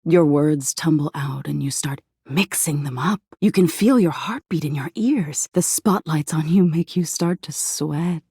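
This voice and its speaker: A woman playing a sinister living nightmare in a video game, teasing and seductively menacing, with a breathy, husky voice that slips into whispers. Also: low-pitched, warm tone, no room sound, studio quality, full character voice. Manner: slow, drawn-out, playful menace, slipping into breathy whispers. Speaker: video game character voice actor. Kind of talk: theatrical video game voice acting.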